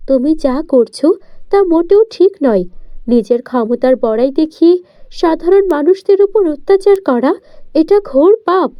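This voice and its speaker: A woman's voice: very high-pitched, dry recording, highs cut off. A woman voicing a kind, morally firm good fairy in a cartoon tale, earnest and admonishing.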